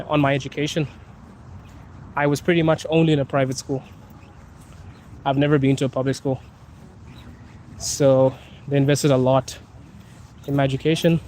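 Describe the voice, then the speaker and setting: A young man from Zimbabwe hosting a relaxed live Q&A while walking outdoors. He has his sinuses bothered by pollen, laughs often and drags out some words. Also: fairly high pitch, a little hiss or hum. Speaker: host. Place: outdoors on a city street.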